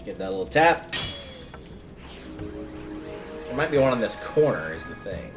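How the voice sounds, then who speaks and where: A man's voice: mid-range voice, sing-song, a little slow, over music. A man livestreaming a video game, giving casual, rambling commentary as he thinks aloud.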